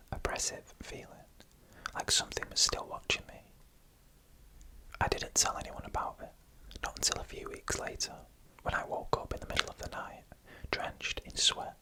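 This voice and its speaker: A storyteller whispering an eerie first-person tale in ASMR style, unvoiced and breathy, with deliberate, suspenseful phrasing. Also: rough recording.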